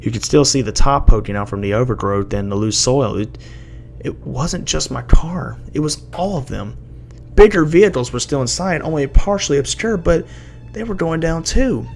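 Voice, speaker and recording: Gravelly man reading a horror story. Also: mid-range voice, animated, moderate pace. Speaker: amateur horror story narrator. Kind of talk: dramatic first-person creepypasta reading. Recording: noisy amateur home recording.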